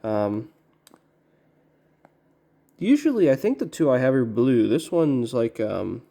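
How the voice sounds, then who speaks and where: Low-key man with a creaky, mellow voice. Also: big pitch swings. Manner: halting, musing to himself. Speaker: record collector presenting an unboxing. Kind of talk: casual unboxing commentary.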